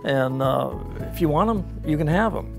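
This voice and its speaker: Older guy kinda high voice, slow easy reminiscing, soft music behind. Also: lively intonation, vocal fry. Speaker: interviewee, collector. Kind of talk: casual recollection. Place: home studio with audio equipment.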